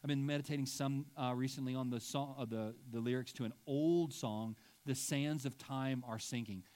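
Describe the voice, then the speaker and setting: A man's voice: medium pitch, fairly even intonation, moderate pace, a little mellow. A middle-aged man preaching a sermon in a church, conversational and self-deprecating, often checking in with "right?" and stumbling through restarts when he digresses. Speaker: pastor. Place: church sanctuary.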